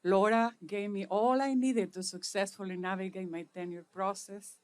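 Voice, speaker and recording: Middle-aged Chilean woman, Spanish native, formal ceremony speaker, deliberate phrasing, mid-pitched. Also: steady tone, a little mellow. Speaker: speaker at an inauguration. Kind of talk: formal prepared speech.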